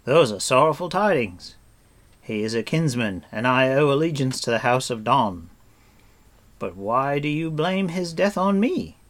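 A man's voice: mid-range voice, expressive, measured pace, pitched above the performer's own voice, lightly acted. A man voicing a nervous, flowery male bard in a storybook reading, with an exclamatory, protesting manner. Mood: solemn. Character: frightened, flowery, bowing male wandering bard.

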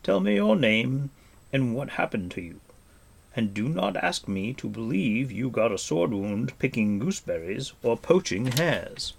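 A man's voice: medium pitch, big pitch swings, a little slow, vocal fry, a little hiss or hum, pitched above the performer's own voice. A man voicing a weathered, commanding warrior prince in a storybook, stern yet kindly, speaking with emphatic authority. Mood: gentle, firm.

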